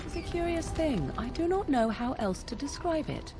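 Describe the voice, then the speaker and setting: An actress voicing a proud, guarded young witch in a video game, with formal, archaic, measured speech. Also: high voice, very animated, warm tone. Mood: guarded, hesitant. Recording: music underneath. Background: music.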